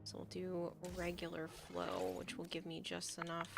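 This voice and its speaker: A woman streaming a game and chatting casually, thinking aloud as she plans, her voice going hoarse. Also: on the low side, big pitch swings, moderate pace, over music. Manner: thinking aloud, hesitant, soft at times. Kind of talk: casual game livestream chat.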